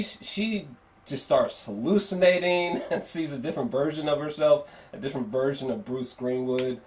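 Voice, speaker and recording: Male film reviewer, mid-pitched, off the cuff and foul-mouthed, emphatic, meandering delivery for a casual video review. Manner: rambling, profanity-laced, emphatic.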